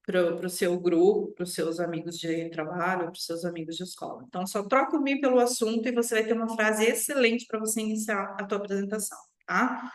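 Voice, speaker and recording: A woman's voice: mid-range voice, steady tone, a little fast, few pauses. A Brazilian woman giving an online English lesson as a teacher, instructive and often checking in with short tag questions. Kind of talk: online language lesson to viewers. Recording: roomy, echoing sound.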